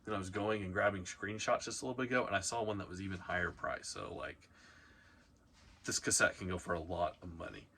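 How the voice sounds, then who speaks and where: Bright-voiced man hosting a casual countdown. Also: sits low, a little fast, slight background noise. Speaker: host of a reselling video channel. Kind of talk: casual countdown commentary to camera.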